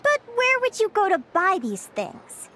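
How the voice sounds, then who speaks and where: A woman's voice: very high voice, huge pitch swings, unhurried, background noise, heavily acted. A woman playing an enthusiastic young female guild advisor in a video game, sweet, girlish, eager and chatty. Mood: cheerful, instructive. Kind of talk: English video game voice acting. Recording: game music behind.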